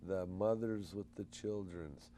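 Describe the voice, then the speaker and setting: Male co-host, low mellow voice, folksy and animated, faint hum. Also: a little slow. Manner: animated, folksy, preachy promoting. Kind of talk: casual call-in chat.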